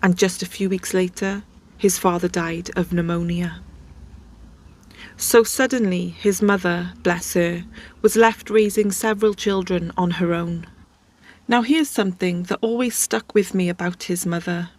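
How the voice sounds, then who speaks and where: A woman's voice: on the low side, steady tone, unhurried, darkish tone. A woman hosting, telling a life story in a casual, chatty way with conversational asides. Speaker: host.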